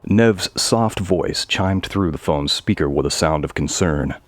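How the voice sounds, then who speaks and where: Extremely deep-voiced male story narrator. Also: measured pace, no room sound. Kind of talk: scripted audio story narration.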